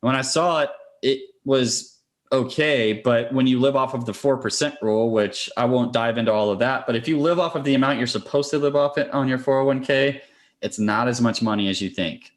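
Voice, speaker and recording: Male podcast guest, mid-pitched voice, conversational, step-by-step explainer, investor. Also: a little fast. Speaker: interview guest, real estate investor.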